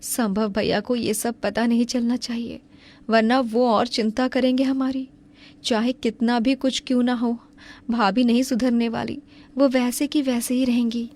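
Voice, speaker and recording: A woman's voice: a little high, level tone, unhurried, a little mellow. A woman playing an aggrieved, outspoken young wife in a cartoon story, earnest and emphatic. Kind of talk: Hindi cartoon story acting.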